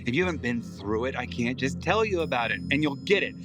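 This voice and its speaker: A man's voice: medium pitch, lively intonation, fast talker, music in the background, close to an everyday voice, low-quality recording. A man playing a bitter, sarcastic younger-generation brother. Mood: defensive, weary. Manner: sarcastic, defensive, blunt. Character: bitter, sarcastic recovering addict, resentful adult brother. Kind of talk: audio drama acting.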